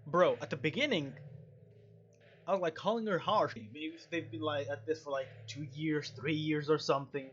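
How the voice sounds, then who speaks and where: A man with a slightly high voice plays a game and reads its dialogue aloud. He rambles casually and drops in joking asides. The sound is a little muffled, with some background noise from a home setup. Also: moderate pace, fairly bright tone. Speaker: let's play streamer. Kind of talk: gaming commentary, reading game dialogue aloud.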